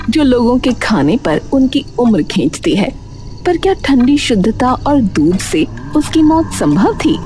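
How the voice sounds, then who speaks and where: A woman's voice: on the high side, mellow. A woman narrating a scripted story in a dramatic storytelling style.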